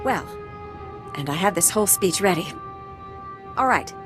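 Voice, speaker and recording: A woman acting a brisk, dry-witted medical researcher in a video game, wry and matter-of-fact. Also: medium pitch, animated, over music, natural voice. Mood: wry, resolute.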